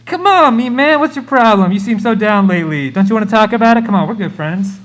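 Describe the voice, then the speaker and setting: Young man playing a high-pitched, hyped-up radio DJ. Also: expressive, fast talker, fairly bright tone. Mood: coaxing, upbeat. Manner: exuberant, pushy, piling on alliterative nicknames. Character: hyped-up, over-friendly male radio DJ. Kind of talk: comic live radio play acting.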